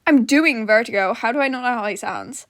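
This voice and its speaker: A woman's voice: on the high side, brisk, no echo, studio quality. A woman podfic narrator chatting casually to her listeners in an informal, giggly, self-deprecating way.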